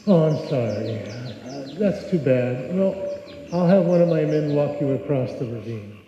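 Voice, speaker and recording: A man's voice: mid-range voice, lively intonation, warm tone, lightly acted. A man acting a hesitant, polite policeman questioning witnesses in an old time radio suspense play. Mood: hesitant, official. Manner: halting, courteous. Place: live stage performance in a hall. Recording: dull, echoey live hall sound.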